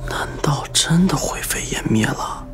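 An actor playing an anxious, earnest young bus driver, hesitant and often dropping to a breathy near-whisper. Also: male voice, fairly high pitch, animated, measured pace, over music. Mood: worried. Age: young adult. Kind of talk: screen drama acting. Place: furnished room indoors.

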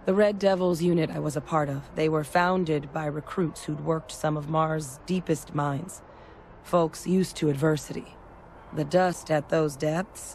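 A woman playing a candid, measured military veteran in a video game, speaking deliberately. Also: low voice, a little mellow, slight background noise. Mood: reflective. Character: measured, candid female soldier-scientist, war veteran.

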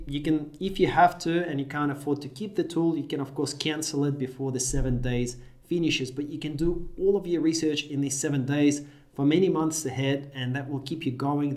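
A man's voice: on the high side, darkish tone. A man in his thirties from Russia, a Russian native speaker, giving an upbeat screen-share tutorial in a small room. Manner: upbeat, direct instructional talk. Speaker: affiliate marketing tutor.

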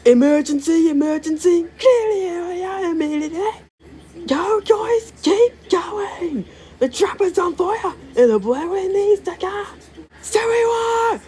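Male actor as alarmed harbour machine, extremely high voice, urgent shouted commands. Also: animated, unhurried.

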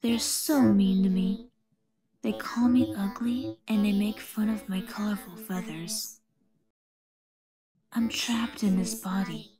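A woman voicing a lonely, pleading female animatronic chicken in fan-made character lines, soft, breathy and plaintive. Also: mid-range voice, steady tone, slow pace, pauses often, over music, lightly acted. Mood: sad, pleading.

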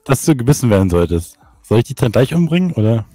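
A man's voice: mid-range voice, big pitch swings, fast, mellow, dry recording. A man playing a role-play game, bantering casually in a dry, questioning way.

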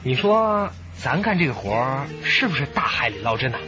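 An actor with a Mainland Chinese Mandarin accent playing a chatty, joking junior detective in a radio drama. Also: male voice, medium pitch, very animated, normal speed, music in the background, lightly acted. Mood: teasing. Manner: exclamatory, teasing. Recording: slightly muffled broadcast with faint noise.

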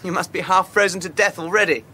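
An earnest young Englishman, high-pitched and bright-toned, with an urgent, breathless delivery. The radio play carries faint noise behind him. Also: fairly even intonation, fast. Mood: sheepish, apologetic. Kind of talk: radio drama acting.

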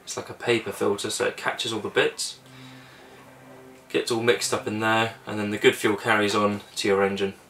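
Low, flat-voiced young man. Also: normal speed, distant mic, slight background noise. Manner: relaxed, practical, talks through steps with fillers. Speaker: sailing vlogger. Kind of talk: casual how-to explanation. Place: small boat cabin and engine bay, indoors.

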